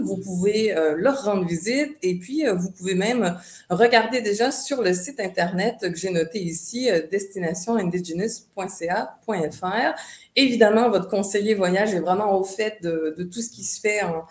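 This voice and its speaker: A woman's voice: mid-range voice, darkish tone. A woman from Montreal with a Québécois French accent, presenting as a tourism board representative in a webinar, friendly, with fillers and repeated words, on a computer mic. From Canada, Montreal. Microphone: computer mic on a video call. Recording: echoey online call audio.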